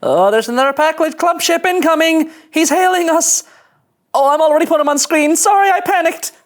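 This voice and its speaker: A woman playing a jittery, eager young starship ensign, anxious and excitable, in a sci-fi table read. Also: high voice, brisk, natural voice. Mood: flustered.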